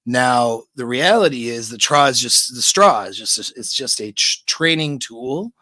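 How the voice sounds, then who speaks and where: A man giving relaxed, chatty dating-coach advice on a livestream, speaking close to a microphone. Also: measured pace, no room sound. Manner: demonstrates voice pitch changes, relaxed and chatty. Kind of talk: casual livestream coaching talk.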